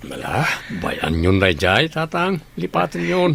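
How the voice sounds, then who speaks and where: A man's voice: medium pitch, huge pitch swings, a little slow, gravelly, a bit bright, slight background noise, full character voice. A man with a Filipino accent playing a stern, protective older brother in a radio drama. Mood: gentle, modest.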